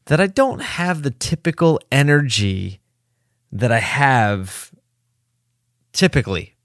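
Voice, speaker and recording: A man hosting his own podcast from his office, his voice a little hoarse and low on energy, talking candidly and rambling as he pushes himself to liven up. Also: sing-song, slow, dry recording, studio quality. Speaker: podcast host, agency owner. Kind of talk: casual solo podcast talk. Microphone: desk mic in his office.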